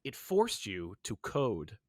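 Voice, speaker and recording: A man's voice: fairly low pitch, wildly swooping pitch, slow pace, darkish tone, no room sound, clean studio sound. A man co-hosting a podcast, chatting casually about pop culture in an opinionated way and often acting out imagined dialogue. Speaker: podcast co-host.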